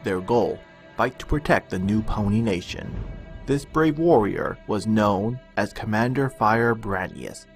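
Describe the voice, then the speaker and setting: A man's voice: fairly low pitch, animated, measured pace. Man narrating a fantasy tale over music. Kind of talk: scripted fantasy storybook narration.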